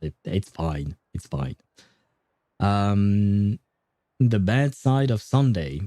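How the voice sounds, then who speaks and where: A man's voice: fairly low pitch, sing-song, extremely slow, mellow, no room sound, studio quality. A man livestreaming a game in casual chat, rambling and hesitant as he thinks aloud. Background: game music.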